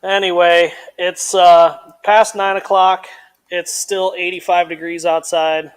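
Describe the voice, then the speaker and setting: A man's voice: high-pitched, little pitch movement, slow, clear, resonant tone. Laid-back man co-hosting a live stream. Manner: laid-back, chuckling, blunt. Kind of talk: casual chat.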